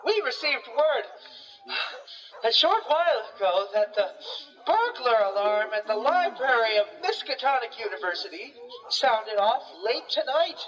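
Woman as self-important newsman, high-pitched, theatrical announcer, 1920s radio drama, distant from the mic. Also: very animated, measured pace, a bit bright. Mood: showy, eager. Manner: showy, theatrical announcer delivery. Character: brash self-important male radio news reporter. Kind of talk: radio drama, 1920s live news report. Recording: muffled, noisy, distant field recording.

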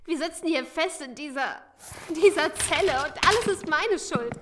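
Anxious high-voiced survivor. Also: female voice, lively intonation, moderate pace, background noise. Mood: guilty, despairing. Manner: pleading, troubled. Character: anxious, guilt-ridden female survivor. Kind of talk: audio drama acting.

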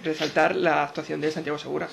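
A man from Spain giving quick, animated opinions in a casual podcast chat. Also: on the high side, vocal fry, slight background noise. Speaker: podcast co-host.

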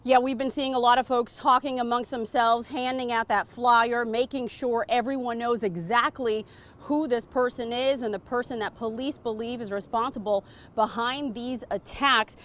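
A woman's voice: on the high side, steady tone, normal speed, fairly bright tone. An American woman, a TV news reporter, giving a report outdoors on a city street. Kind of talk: news report, live and scripted. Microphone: clip-on lapel mic.

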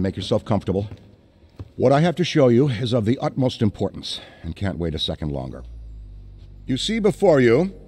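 An actor playing an excitable middle-aged occult scholar who rambles eagerly with hesitant fillers. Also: male voice, medium pitch.

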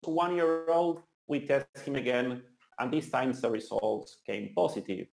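A man's voice: medium pitch, measured pace, gravelly, a little mellow. A man in his thirties from Argentina with an Argentinian accent, giving an earnest, enthusiastic conference talk. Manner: earnest, enthusiastic, some restarts. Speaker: conference speaker. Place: meetup venue hall. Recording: roomy hall sound.

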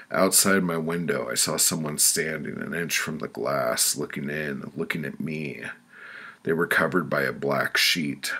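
Very deep, gravelly male narrator. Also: bright, forceful tone. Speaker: author reading own audiobook. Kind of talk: scripted audiobook narration of poetic memoir.

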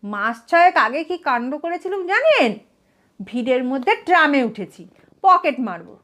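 A woman's voice: high voice, very animated, unhurried, a bit bright, heavily acted. A woman voicing an absent-minded, hapless male thief in a comic storybook reading, chatty and deferential, with an earnest, aggrieved whine. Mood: earnest, rueful. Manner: whining, earnest, addresses listener as sir.

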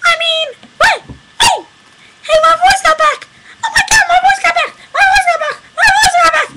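A woman voicing an excitable little boy clown puppet in a squeaky high put-on voice, in a homemade webcam puppet show from a small bedroom. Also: moderate pace, very noisy. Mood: overjoyed. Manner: giddy, shouting.

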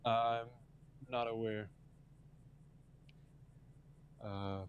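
A man playing a polite, hesitant police officer in improvised game roleplay, speaking in a halting, matter-of-fact way. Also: flat delivery. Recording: dull lo-fi mic with faint rumble.

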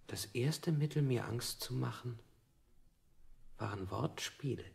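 A man playing a dazed prisoner in a radio play, recounting his interrogation in measured, literary sentences. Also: mid-range voice, vocal fry. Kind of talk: avant-garde German radio play acting.

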